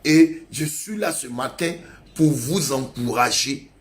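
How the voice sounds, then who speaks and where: A man's voice: medium pitch, takes it slowly. A male pastor preaching a sermon, urging his congregation with emphatic, repetitive exhortations.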